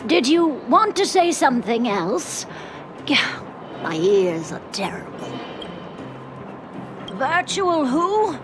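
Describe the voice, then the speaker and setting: A woman's voice: fairly high pitch, heavily acted. A woman voicing a grieving old widow in a video game, hard of hearing, stubborn and wistful, with music underneath. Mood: confused, querulous. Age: older.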